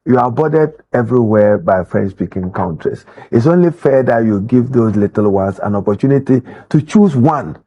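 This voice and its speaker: A man with a Ghanaian English accent explains policy in a measured, deliberate way, pausing on fillers as he goes. His voice is dark and mellow, with a husky edge. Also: medium pitch, animated, muffled recording. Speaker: education official. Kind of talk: interview answers.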